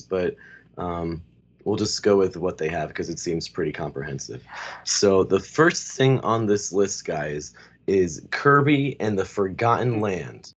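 A man hosting a casual podcast chat, his voice a bit raspy, rambling loosely with plenty of fillers. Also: low voice, highs cut off. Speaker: podcast host.

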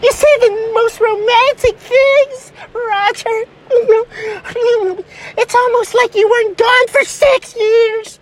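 A performer voicing a gushy, melodramatic, lovesick woman with a fickle temper, in a breathy, theatrical comedy parody of romance. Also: extremely high pitch, steady tone, slow, rough recording. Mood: swooning, tearful.

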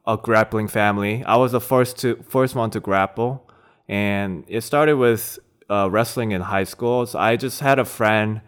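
A Korean-born man in his thirties, talking as an interview guest in a relaxed, reflective way with many fillers. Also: lowish voice, clean tone. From South Korea. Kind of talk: casual conversation.

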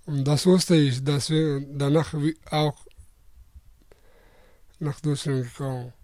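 Man in his twenties from Afghanistan, interviewee; slow, halting, many restarts; slightly high voice. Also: steady tone, warm tone, dry recording, studio quality. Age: 20s.

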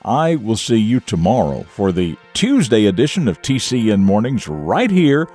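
A man presenting a scripted morning show for young listeners, with emphatic, deliberate phrasing and folksy asides. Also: on the low side, sing-song, darkish tone, breathy edge, faint music behind. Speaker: radio show and podcast host.

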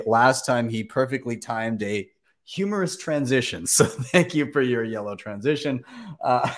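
A man hosting a casual podcast chat, rambling with many fillers and restarts. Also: mid-range voice. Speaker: podcast host.

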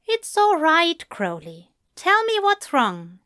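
A woman reading a story aloud and voicing a gentle, caring man who speaks softly and reassuringly. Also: very high voice, huge pitch swings, slow, no echo, clean studio sound, voice pushed up, heavily acted. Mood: tender. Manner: soft, tender, reassuring. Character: gentle, fussy, kindly man, soothing and caring. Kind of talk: audiobook narration of fan fiction.

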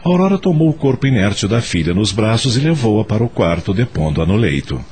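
A man's voice: fairly low pitch, very animated, fairly quick, a little mellow. A man giving solemn, literary narration for a radio drama in a dramatic storytelling style. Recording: music behind the narration.

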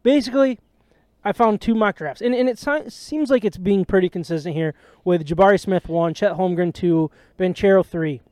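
A man hosting a casual sports podcast, chatty and jokey, with a voice he calls high. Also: moderate pace, darkish tone, clean tone, dry recording. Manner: chatty, jokey, self-deprecating. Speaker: podcast host.

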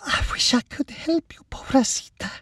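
A man voicing a haunted, fearful Mexican woman with a husky voice and a thick accent. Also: normal speed, fairly bright tone, no room sound, studio quality, recorded from a distance, natural voice. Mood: haunted, sorrowful.

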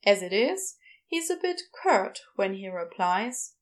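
A woman's voice: mid-range voice, sing-song, measured pace. A woman reading scripted fiction narration as the narrator of a podfic story.

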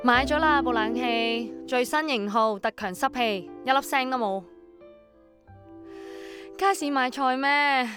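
A woman's voice: high voice, unhurried, pauses often, loud music behind the voice. An actress playing a busy, no-nonsense middle-aged mother in a radio drama, brusque and clipped, with a Hong Kong accent. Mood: brisk, exasperated.

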